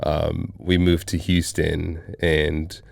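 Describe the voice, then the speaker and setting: Deep-voiced man in his thirties. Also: takes it slowly, gravelly, no echo, clean studio sound. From Zambia. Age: 30s. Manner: relaxed, reflective, with frequent fillers and restarts. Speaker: guest, coffee shop owner. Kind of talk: casual interview conversation.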